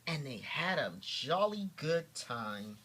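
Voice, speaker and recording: A man reads a bedtime storybook to his children in a bright, forceful voice. His delivery is very animated and unhurried, leaning hard on key words and slipping into chatty asides. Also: a little high, husky. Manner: theatrical, drawn-out emphasis on key words.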